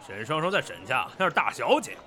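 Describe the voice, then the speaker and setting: Man acting a brash, wildly animated fishmonger. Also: medium pitch, fairly quick, a faint crowd. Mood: sarcastic. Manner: jeering, colloquial, needling. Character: brash, mocking village fishmonger. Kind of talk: Chinese period audio drama acting.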